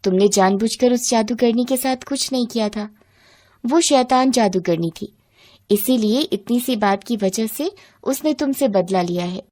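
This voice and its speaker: A calm, high-voiced mother, played by a female storyteller. She soothes and advises her grown daughter in a storybook style. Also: a little slow, lightly acted. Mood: reassuring. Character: calm, reassuring mother of a young woman. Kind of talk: acted dialogue in a moral bedtime story.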